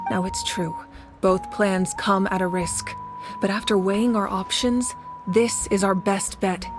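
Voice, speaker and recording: A woman's voice: medium pitch, lightly acted. A woman as a composed, resolute warrior leader. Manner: measured, earnest, authoritative. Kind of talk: dramatic video game voice acting. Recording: game music behind the voice.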